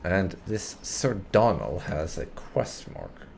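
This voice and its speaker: A man's voice: deep voice, sing-song, takes it slowly, creaky, darkish tone, faint music behind. A man giving casual roleplay playthrough commentary as a gaming host, musing and thinking aloud.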